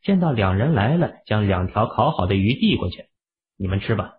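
A man with a low voice narrates an audio drama, with lots of rise and fall in his storytelling. The sound is tinny and phone-like, as if heard down a phone line. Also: fairly quick. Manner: expressive storytelling.

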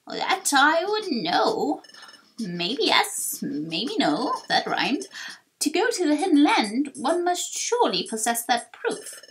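A woman voicing an elderly, wistful tortoise creature from a video game, halting and drawn-out in speech. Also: a little high, fairly bright tone, voice pushed down, heavily acted, rough recording.

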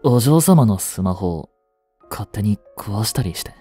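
A man voicing a devoted, protective young butler in a romantic voice drama, frantic while searching a fire and soft and tender at a bedside. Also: lowish voice, expressive, a little slow, husky, faint music behind. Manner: soft, gentle and intimate in the bedside scene.

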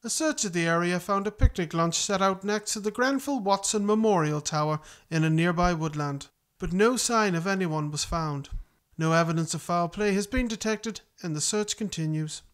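A man's voice: high-pitched, normal speed, few pauses, clear, resonant tone, lightly acted. A man playing a formal, composed newsreader, reading a scripted announcement in a radio play. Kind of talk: scripted news bulletin and credits reading.

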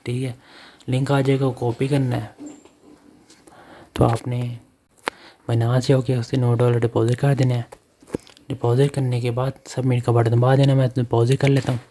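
A man's voice: mid-range voice, flat delivery, normal speed, pauses often, dark tone, a little hiss or hum. A man, talking through an app step by step as a voice-over for a phone screen recording. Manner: instructional, repeats step-by-step directions. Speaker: online earning channel host.

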